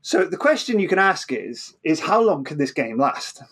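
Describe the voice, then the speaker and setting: A man's voice: a little high, big pitch swings, moderate pace, fairly bright tone. A man explaining science informally as an interview guest, often repeating words and restarting, checking in with "right?".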